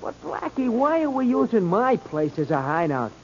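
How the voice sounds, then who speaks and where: High-pitched, dim American sidekick. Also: male voice, very animated, over loud music. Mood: puzzled. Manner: slangy, ungrammatical, slow-witted and deferential. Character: dim, loyal, lowbrow male crook's sidekick. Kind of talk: 1940s radio acting. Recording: noisy, muffled old radio recording.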